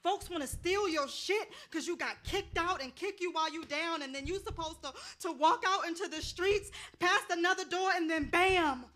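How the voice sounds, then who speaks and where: An actress plays a bitter woman who drinks hard and refuses to go out. Her voice is high and forceful, and her stage delivery is slangy, profane and defiant. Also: moderate pace, few pauses. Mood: agitated. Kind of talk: theatrical staged reading.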